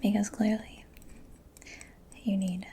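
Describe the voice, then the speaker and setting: A woman doing a soft-spoken ASMR mechanic roleplay, breathy and mostly whispered, gently murmuring as if giving personal attention, close to the microphone. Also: mid-range voice, flat delivery, slow. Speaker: ASMR performer. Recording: close-miked with clear background noise.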